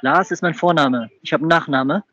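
A mocking man who keeps hammering the same question in a slightly high voice. The sound is dry and a little dull, with no room around it. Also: brisk, sharp tone, no echo. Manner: mocking, repeats questions insistently. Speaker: prank caller baiting scam callers. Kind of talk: confrontational phone prank chat.

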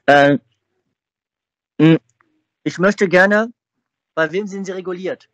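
A man's voice: high-pitched, slow, a bit bright, no echo. A man on a phone call, mocking the caller and repeating the same question over and over. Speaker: prank caller baiting scam callers. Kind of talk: confrontational phone prank chat.

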